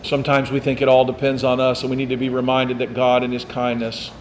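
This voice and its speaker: A man's voice: medium pitch, completely flat delivery, quick pace, slight background noise. A man preaching a sermon as pastor, in a conversational way, asking the congregation questions and teasing them.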